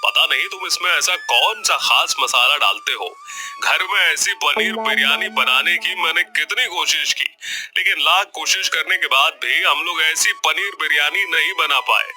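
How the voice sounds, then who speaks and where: A man's voice: fairly high pitch, normal speed, sharp tone. A man voicing an ordinary, friendly village customer in a cartoon story, speaking plainly and expressively. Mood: admiring. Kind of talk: Hindi cartoon story voice acting.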